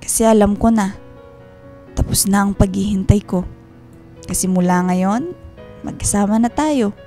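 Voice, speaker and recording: A woman acts a loving, wounded wife in a Filipino radio drama. Her voice is mid-pitched and mellow, the delivery earnest and measured. Faint music plays underneath. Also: close to an everyday voice. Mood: relieved, loving. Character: tender, wounded wife and mother back from working abroad.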